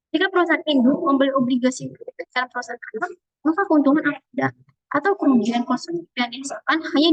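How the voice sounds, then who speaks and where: A woman's voice: high voice, no echo, low-quality recording. A young woman, a student presenting and explaining exercise answers. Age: young adult.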